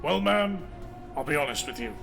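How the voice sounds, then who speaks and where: A man's voice: fairly high pitch, lively intonation, moderate pace, vocal fry, fairly bright tone, soft background music. A man playing a courteous but probing marshal in an audio drama, speaking formally. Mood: grave.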